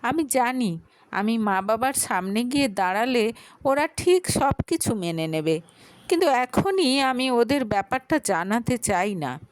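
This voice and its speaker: A woman's voice: a little high, expressive, a little slow, no room sound. A woman voicing a frightened, pleading college girl in her early twenties for a dramatic audio story. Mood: determined. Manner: pleading, anxious, defensive.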